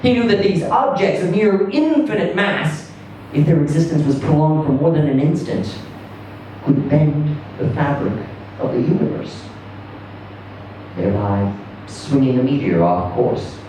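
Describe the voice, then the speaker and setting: A man reading a first-person short story aloud in a conversational yet theatrical way, with deliberate pauses. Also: on the high side, animated, mellow. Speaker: reader performing a short story. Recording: roomy, echoing, distant mic with faint noise.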